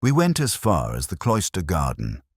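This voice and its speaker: A man playing a brooding, erudite police detective, narrating his case as a measured, melancholy, literary first-person mystery story. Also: low-pitched, wildly swooping pitch, breathy edge, no room sound, studio quality, exaggerated voice. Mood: brooding, reflective.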